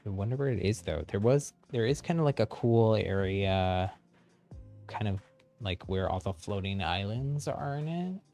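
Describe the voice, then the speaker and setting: A man's voice: lowish voice, expressive, normal speed, mellow, faint music behind. A man giving casual gameplay commentary on a livestream, explaining things as he goes and thinking aloud. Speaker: game livestreamer.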